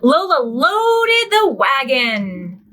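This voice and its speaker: A woman, a children's librarian, leading a preschool storytime, reading expressively and pausing to ask the children questions. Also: high voice, big pitch swings, sharp tone. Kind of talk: preschool storytime read-aloud.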